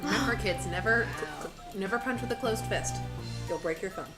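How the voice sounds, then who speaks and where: A woman with a mid-pitched voice chats casually as a co-player in a video game session. Game music plays clearly behind her. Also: moderate pace. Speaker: co-player in a group let's play. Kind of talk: casual gaming commentary.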